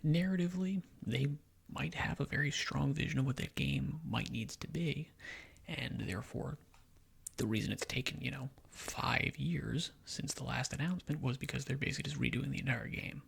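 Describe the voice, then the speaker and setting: A man speaking in soft, whispery ASMR style, giving rambling, halting gaming commentary as he thinks aloud. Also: medium pitch. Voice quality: whispery, breathy, crackly. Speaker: video game commentator.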